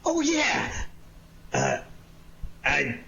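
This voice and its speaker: Man playing a blustering, high-voiced police inspector. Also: wildly swooping pitch, very slow, gravelly, pushed and bright, highs cut off, a little hiss or hum, full character voice. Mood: suspicious, blustering. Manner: blustering, sarcastic, scolding. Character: irate, sarcastic male police inspector. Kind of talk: 1940s radio detective drama acting.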